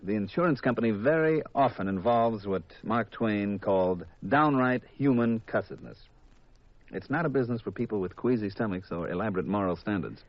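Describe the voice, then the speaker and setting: A man's voice: fairly low pitch, brisk, dry recording, natural voice. An actor playing a shrewd, cynical insurance company man, businesslike and emphatic, in 1950s radio drama style. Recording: dull vintage radio recording.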